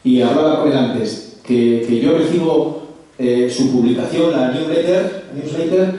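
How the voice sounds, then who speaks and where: Man giving a lecture introduction, hesitant, mellow tone, echoey hall, off-mic. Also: on the high side, unhurried, distant mic. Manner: hesitant, many fillers and restarts. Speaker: lecture presenter. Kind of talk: formal introduction at a public lecture.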